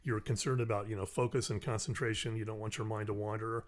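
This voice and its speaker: A man's voice: fairly low pitch, level tone, quick pace. A man being interviewed as a musician and actor, easygoing and self-deprecating in casual chat.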